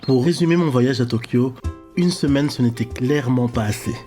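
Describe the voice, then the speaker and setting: A man in his thirties from Belgium, calmly narrating a scripted travel voice-over. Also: mid-range voice. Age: 30s. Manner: calm, measured storytelling. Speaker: vlogger. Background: music bed.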